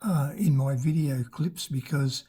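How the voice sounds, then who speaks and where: An older travel vlogger with a raspy voice, narrating a road trip in a chatty, unhurried way, pausing now and then to search for words. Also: mid-range voice, mellow. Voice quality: raspy from damaged vocal cords.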